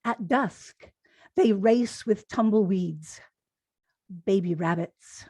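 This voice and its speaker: A woman poet reading haiku aloud in a measured delivery with pauses between the phrases. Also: mid-range voice, a little mellow, breathy edge, no echo, studio quality. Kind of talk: poetry reading.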